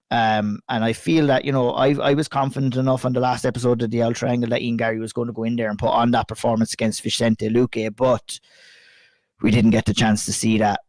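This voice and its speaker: An Irish man hosting a casual podcast chat, a bit hoarse and croaky from a flu, chatty and sweary. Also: medium pitch, a little mellow, dry recording. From Ireland. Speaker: podcast host.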